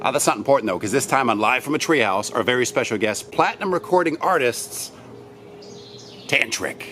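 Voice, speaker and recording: A man's voice: mid-range voice, animated, fairly quick, a bit bright, slight background noise. A man hosting a casual interview, chatty and upbeat as he questions his guests. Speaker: show host, interviewer. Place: after a show, backstage.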